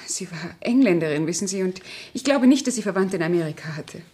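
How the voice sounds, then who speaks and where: A woman's voice: lowish voice, expressive, fast talker, mellow, close to an everyday voice. Actress as a careful, secretive household woman. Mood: guarded. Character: composed, secretive woman, trusted member of the household. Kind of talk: radio crime drama acting.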